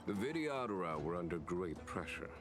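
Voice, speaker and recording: An actor playing a calm, burdened general of a fantasy realm in a video game, speaking in a measured, formal way. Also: male voice, deep voice, music in the background. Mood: wry, knowing.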